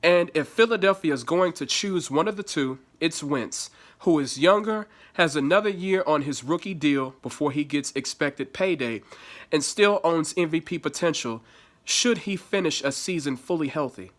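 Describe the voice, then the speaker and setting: A man's voice: fairly high pitch, expressive, moderate pace, fairly bright tone. A man with a stuffy nose from allergies, giving blunt, profane sports commentary and reading an article aloud.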